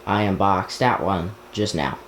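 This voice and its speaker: Male hobbyist vlogger, unscripted and halting, half talking to himself, roomy sound with noticeable background noise. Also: sits low, fairly bright tone. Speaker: hobbyist vlogger unboxing gadgets. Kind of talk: casual unscripted chat while handling a device. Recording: echoey room, clear background noise.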